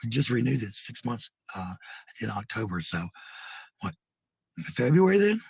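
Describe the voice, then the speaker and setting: An American man with a husky, gravelly voice rambling casually to livestream viewers, blunt, sweary and given to repeating phrases. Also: medium pitch, big pitch swings, moderate pace, darkish tone, dry recording. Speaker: live streamer.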